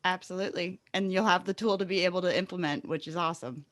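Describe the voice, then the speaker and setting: A man's voice: high-pitched, steady tone, fast, a bit bright. Encouraging male podcast host with mid-range voice. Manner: affirming, encouraging. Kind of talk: casual interview chat.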